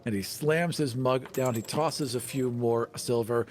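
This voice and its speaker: A man's voice: mid-range voice, unhurried. A man playing a tabletop role-playing game, chatting casually and narrating his character's actions. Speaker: player. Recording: clear background noise and ambience.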